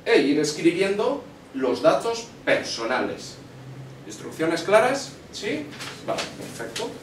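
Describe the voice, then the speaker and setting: A man in his thirties from Spain, teaching a language class with slow, clear instructions in a small classroom. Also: slight background noise. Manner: slow and deliberate, lively questioning pitch. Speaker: Spanish teacher. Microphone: far camera. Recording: echoey classroom from far off.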